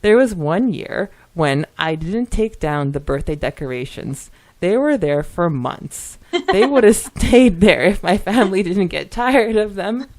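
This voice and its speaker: A woman co-hosting a podcast, reading listener comments aloud and chatting casually in an animated, giggly manner. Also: fairly low pitch, measured pace. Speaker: podcast co-host.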